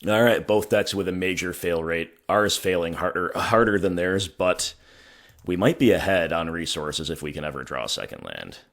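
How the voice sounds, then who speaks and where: A hoarse man thinking aloud in a wry, self-mocking way as he commentates his own gameplay. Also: deep voice, animated, normal speed, fairly bright tone. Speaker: gaming channel host.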